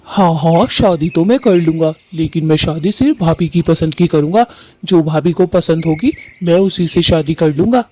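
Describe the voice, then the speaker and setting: A woman voicing a shy, soft-hearted young male bird, bashful and earnest, in a cartoon story. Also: sits low, moderate pace. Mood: bashful, firm. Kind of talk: animated cartoon story dialogue.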